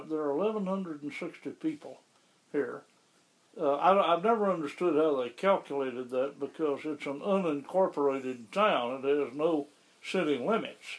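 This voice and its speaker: A man being interviewed about the town where he lives, explaining things in a measured, conversational way. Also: fairly high pitch, low-quality recording. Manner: measured, explanatory, with occasional restarts. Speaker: interviewee, local resident.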